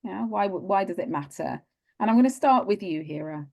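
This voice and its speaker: A woman's voice: medium pitch, expressive, a little fast, gravelly, a little mellow. A woman moderating a panel over a video call, encouraging the speakers and posing questions. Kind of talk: hosting a panel discussion.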